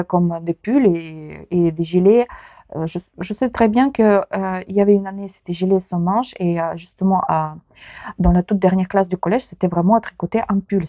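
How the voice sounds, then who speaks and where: A woman in her thirties speaks with a heavy Ukrainian accent in a relaxed vlog chat, halting often and starting sentences over. Her voice sits at an ordinary middle pitch. The recording is dry and a little dull, with no room sound. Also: dry recording. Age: 30s. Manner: hesitant, many pauses and restarts. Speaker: knitting vlogger. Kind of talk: casual chat to camera.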